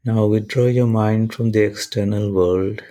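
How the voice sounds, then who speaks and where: A man leading a guided meditation and prayer in measured, deliberate short phrases with pauses between them. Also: fairly low pitch, fairly even intonation, dark tone.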